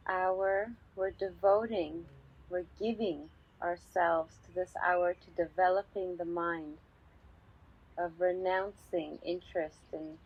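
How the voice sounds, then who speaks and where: A woman teaching a guided meditation calmly and unhurriedly, pausing often between short phrases. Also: on the low side, husky. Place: outdoors in a garden. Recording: slightly dull sound with birdsong. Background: birdsong.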